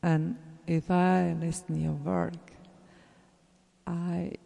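A speaker with a German accent, a native German speaker, giving an earnest, encouraging message to fellow artists, halting and searching for words with many fillers, and trailing into soft whispers. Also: medium pitch, little pitch movement, vocal fry. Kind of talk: casual spoken message to listeners. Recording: roomy with long reverb.

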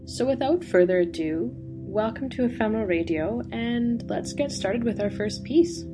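A young woman student hosting a radio special, presenting in a relaxed way with frequent fillers. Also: fairly high pitch, normal speed. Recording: guitar music playing underneath.

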